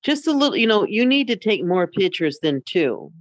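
Female podcast co-host, middle-aged, medium-pitched, conversational, recorded dry and close. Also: very animated, moderate pace, no echo. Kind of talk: casual conversational chat.

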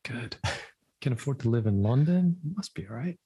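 Male host, husky drifting American-German accent, easygoing wry storyteller. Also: medium pitch, lively intonation, brisk, mellow. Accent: mixed American and German. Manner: laid-back, rambling, wry. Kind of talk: casual conversation.

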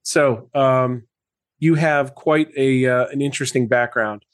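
A man hosting a podcast, reading a scripted intro and asking questions haltingly with fillers. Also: medium pitch, steady tone, smooth, dry recording, studio quality. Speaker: podcast host.

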